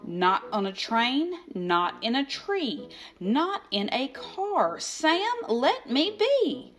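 A woman voicing a stubborn, exasperated storybook grouch with emphatic, drawn-out protests. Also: sits high, big pitch swings, full character voice. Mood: grumpy refusal. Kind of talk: expressive children's picture book read-aloud.

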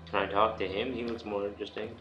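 A man's voice: fairly low pitch, little pitch movement, fast talker, highs cut off. A young man giving casual commentary as he plays a video game. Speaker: let's play gamer. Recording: roomy, noisy home recording.

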